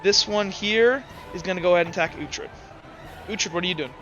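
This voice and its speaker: A man's voice: sits high, brisk, pushed and bright, dull audio, soft background music. A man running a tabletop game as game master, rambling with frequent restarts.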